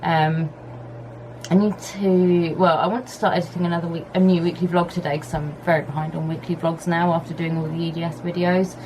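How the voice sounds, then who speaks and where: A woman chatting casually to camera at home with a husky, hoarse voice, rambling in an easygoing way. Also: on the low side, flat delivery, a little fast, a little mellow, poor audio. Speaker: vlogger. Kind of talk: casual vlog chat to camera. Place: home on a hot day, fan running. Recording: faint background hum of fan. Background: fan and pressure washer outside.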